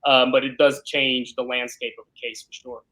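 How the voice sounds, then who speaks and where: A man with a mid-pitched voice answers as an interview guest. He is talkative and explanatory, speaking conversationally and often tagging points with a short check for agreement. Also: little pitch movement, normal speed, pushed and bright. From born in Ukraine, grew up in South Brooklyn, New York. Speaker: criminal defense lawyer, interview guest.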